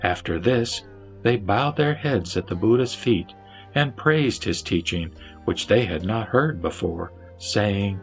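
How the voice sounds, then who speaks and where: Man, lowish pitch, solemn scripted scripture reading, deliberate delivery, roomy echo. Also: expressive. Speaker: reciter of a Buddhist sutra. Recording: roomy echo with music underneath. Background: background music.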